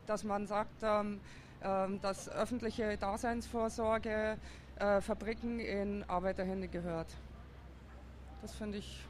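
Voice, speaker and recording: A woman's voice: mid-range voice, slight background noise. A woman answering a street interview outdoors, thoughtful and hesitant, with many fillers as she talks about politics. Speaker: interviewee, district councillor. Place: outdoors on a busy city square.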